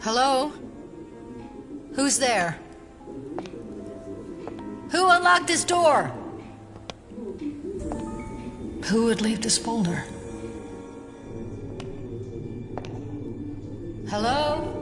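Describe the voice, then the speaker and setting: An actress playing a wary, determined American FBI agent held captive in an audio drama. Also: female voice, sits high, animated, slow, pauses often, over music, heavily acted. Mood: alarmed.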